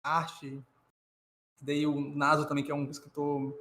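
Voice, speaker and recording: A man's voice: fairly high pitch, completely flat delivery, unhurried, sharp tone. A Brazilian man co-hosting a reaction stream with casual, opinionated commentary, recorded in a roomy, slightly noisy setup.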